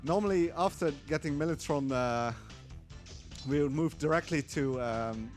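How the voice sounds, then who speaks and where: A man giving casual live speedrun commentary, explaining haltingly with dry humour. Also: fairly high pitch, soft background music. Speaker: speedrunner at a gaming marathon.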